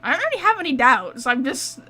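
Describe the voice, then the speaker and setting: A woman's voice: high voice, very animated, fairly quick, pushed and bright. A woman streaming a game live, reacting casually in an informal, giggly, chatty way.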